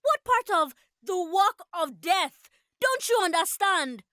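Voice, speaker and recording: A woman as a feisty, high-voiced cartoon girl. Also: sing-song, slow, sharp tone, exaggerated voice. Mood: exasperated. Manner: bickering, scolding. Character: feisty, indignant girl heroine.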